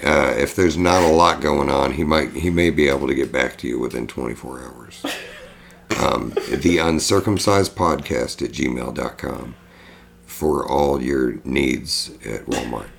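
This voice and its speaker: A man's voice: a bass voice, unhurried, gravelly, slight background noise. A man co-hosting a casual podcast, joking around and swearing freely. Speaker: podcast co-host.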